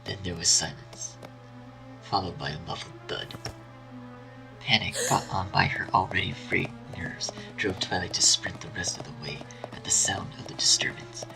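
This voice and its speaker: A man narrating a scripted dark fan story in a dramatic storytelling style. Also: sits low, steady tone, normal speed, gravelly, in a whisper, poor audio. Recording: faint music behind.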